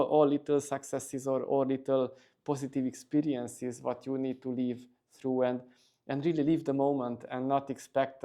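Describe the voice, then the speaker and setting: A man's voice: mid-range voice, warm tone. A middle-aged man, a company executive giving a casual interview, talking steadily with many fillers and restarts.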